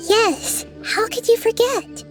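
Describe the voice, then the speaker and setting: A woman voicing a small, wise and gentle girl deity in a video game, soft, thoughtful and a little formal. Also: extremely high pitch, slow pace, over loud music. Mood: attentive. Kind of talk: scripted video game dialogue.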